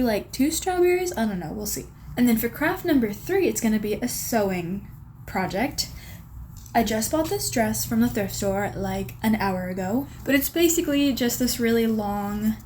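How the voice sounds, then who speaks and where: A young woman with a slightly strained, sore-throat voice chats casually through her plans at home. She rambles with lots of little fillers and keeps an easy, middle-pitched tone. A faint room hum sits behind her. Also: a little mellow. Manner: chatty, rambling with many "like"s. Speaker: craft vlogger. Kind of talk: casual vlog narration.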